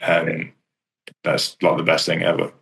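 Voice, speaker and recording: A man with a very low, deep voice talks casually in an interview. His delivery is flat and understated, wandering through his thoughts with a self-deprecating modesty. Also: a little slow, vocal fry. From England. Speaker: kickboxer and striking coach. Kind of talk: casual interview chat about own career.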